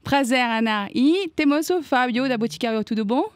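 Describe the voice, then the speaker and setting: A woman's voice: high voice, animated, normal speed, no echo. A woman hosting a business podcast in casual conversation, welcoming and introducing her guests with fillers along the way. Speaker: podcast host.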